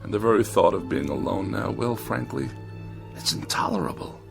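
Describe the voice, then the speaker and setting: A man's voice: on the low side, lively intonation, normal speed, gravelly, over music. A man playing a pompous, irritable and lonely magazine critic with haughty, precise diction in a 1960s television drama. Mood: anxious, desperate. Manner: haughty, ornate, precise wording.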